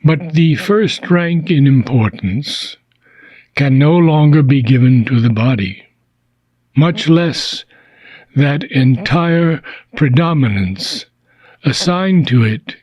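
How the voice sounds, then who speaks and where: A man with a husky voice reads philosophical prose aloud as an audiobook, solemn and deliberate, pausing between short phrases. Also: mid-range voice, a little mellow, no room sound. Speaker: reader of a philosophy book. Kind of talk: slow, measured audiobook reading of philosophical prose.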